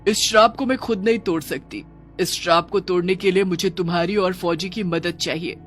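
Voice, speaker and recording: A woman's voice: fairly low pitch, fairly even intonation, normal speed, soft background music, close to an everyday voice. A woman voicing a menacing, embittered forest witch in a children's horror cartoon, speaking with dramatic challenge. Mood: bitter, softening.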